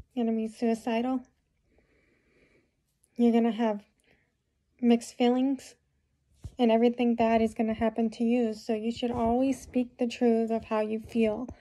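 A young woman talking to her phone camera in a small room, giving rambling advice with many fillers, a bit hoarse from a cold. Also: on the high side, level tone, a little slow, pauses often, warm tone. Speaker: vlogger. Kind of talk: casual talk to camera. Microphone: phone camera at close range.

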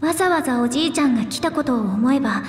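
An actress playing a small, polite young scholar envoy in a video game, speaking formally with earnest determination. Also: female voice, high-pitched, expressive, racing, slight background noise. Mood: earnest, solemn. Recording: echoey hall reverb, music behind.

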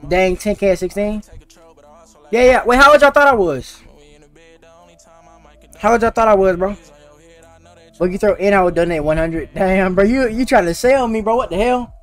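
A man's voice: sits high, normal speed, long pauses, fairly bright tone, faint music behind. A teenage boy streaming a game, chatting casually and slangily with his viewers. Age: teens. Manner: slangy and laid-back, talking to chat. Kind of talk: casual live-stream chat while gaming.

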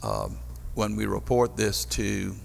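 An older man questioning staff as a council member in a formal meeting, halting and deliberate, at a desk microphone in a chamber. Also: on the low side, very animated, vocal fry, slight background noise. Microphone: gooseneck desk microphone. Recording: reverberant meeting hall.

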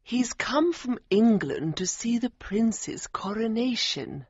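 Polite, husky old hotel lady, soft and slow, male narrator voicing, lo-fi. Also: very high voice, lively intonation, no echo, pitched above the performer's own voice, heavily acted. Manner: soft, courteous, gently reproving. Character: polite, excitable old woman who runs a hotel. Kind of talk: audiobook character voicing. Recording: dull lo-fi recording.

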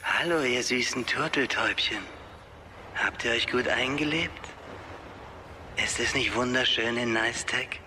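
An actor playing a sinister man in an audio drama, teasing in a saccharine, deliberate way. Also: mid-range voice, background noise, lightly acted. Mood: mockingly sweet. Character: sinister, mockingly sweet male menace taunting a couple. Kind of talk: German audio drama acting.

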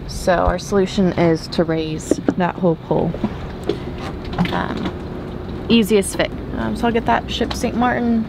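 A woman's voice: mid-range voice, steady tone, a little slow, vocal fry. A young woman in her twenties talking casually to a handheld camera on a sailboat deck, thinking aloud with fillers. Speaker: sailing vlogger. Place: outdoors on a sailboat deck at anchor. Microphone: camera held at arm's length. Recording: noisy outdoor wind and water.

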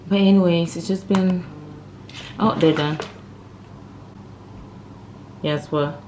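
Woman, 20s, travel vlogger, hoarse, playful, talks to viewers, lowish voice, handheld phone. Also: steady tone, slow pace, mellow, slight background noise, poor audio. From Guinea-Bissau. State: hoarse, losing her voice. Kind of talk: casual vlog chat to camera. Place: hotel room and lobby at night. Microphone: handheld phone camera.

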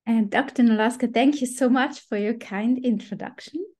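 A woman's voice: fairly high pitch, normal speed, a little mellow. A woman in her forties from Switzerland with a Swiss German accent, giving an explanatory academic presentation on a video call. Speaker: guest researcher. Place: indoors at home on a video call. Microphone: computer microphone on video call.

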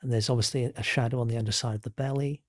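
A man with a cold, narrating a real-time painting demonstration in a relaxed, explanatory way with frequent ums and self-corrections. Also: mid-range voice, rapid-fire, no room sound, studio quality. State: has a cold. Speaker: painting instructor.

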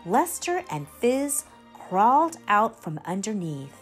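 Female children's story reader: unhurried, careful phrasing, highly varied melody, music underneath. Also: big pitch swings. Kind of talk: expressive read-aloud narration for young children.

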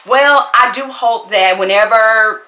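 A woman's voice: a little high, takes it slowly, pushed and bright, roomy sound, low-quality recording. A woman in her forties vlogging while doing her makeup, talking back to a commenter in a sassy way and repeating herself for emphasis. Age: 40s. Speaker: YouTuber. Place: bedroom at home, indoors. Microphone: fixed camera at desk.

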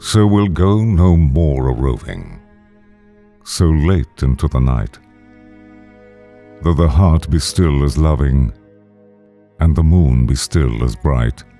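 A man giving a solemn poetry recitation with grave, measured phrasing. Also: very deep voice, lively intonation, warm tone. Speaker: poetry reader. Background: faint string music.

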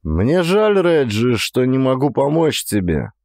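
A male reader voices a weary man who has been through an ordeal, in an audiobook thriller. He sounds sincere and reserved, at an average male pitch. The recording is dry, with no room sound. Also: sing-song, measured pace, a little mellow, dry recording. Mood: regretful.

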